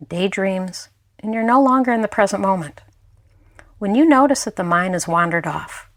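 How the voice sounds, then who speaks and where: A woman mindfulness instructor narrating a guided meditation in a gentle, unhurried way, leaving pauses between phrases. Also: mid-range voice, no echo, clean studio sound.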